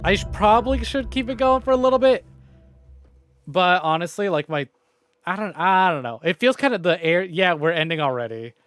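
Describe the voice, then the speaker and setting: A man's voice: high-pitched, lively intonation, normal speed, fairly bright tone, faint music behind. A man livestreaming games, talking to viewers in an informal, rambling way with some mild swearing. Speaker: video game livestreamer. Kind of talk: casual chatty stream sign-off to viewers.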